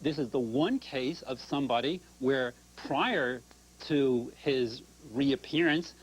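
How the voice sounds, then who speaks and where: An older man, an author and professor, speaking as the guest on a call-in TV discussion, animated and quick to cut in with agreement. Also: medium pitch, breathy edge, rough recording.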